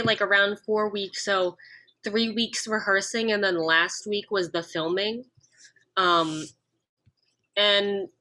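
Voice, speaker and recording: A woman actor recalling her work on a panel, chatty and lively over a video call. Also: medium pitch, low-quality recording. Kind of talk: panel discussion answers.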